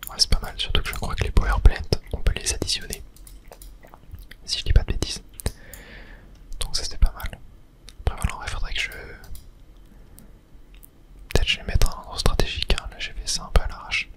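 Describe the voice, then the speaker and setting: Soft whispering gaming ASMR host. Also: fairly quick, long pauses. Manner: casual, hesitant, thinking aloud. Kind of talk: whispered ASMR game commentary.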